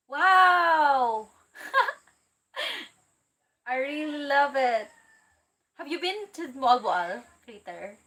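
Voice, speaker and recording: A woman from the Philippines hosting a casual livestream, chatty and excitable, reading out viewer comments and laughing easily. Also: fairly high pitch, low-quality recording. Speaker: livestream host.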